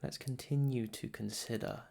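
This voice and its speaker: A man leading a guided meditation as a teacher, speaking soothingly and deliberately in short phrases with long pauses between them. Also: medium pitch, fairly even intonation, creaky, dark tone.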